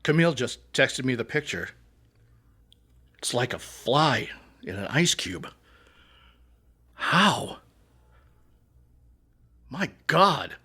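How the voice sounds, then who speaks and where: A man's voice: mid-range voice, sing-song, takes it slowly, long pauses, pushed and bright, husky, heavily acted. A man playing a terse, stern police captain giving orders over the radio in an audio drama. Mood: disbelieving.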